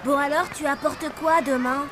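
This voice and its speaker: A woman's voice: sits high, steady tone, moderate pace, lightly acted. A woman voicing a loyal, chatty young schoolchild in a French cartoon dub. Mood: chatty, casual. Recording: loud music under the voice.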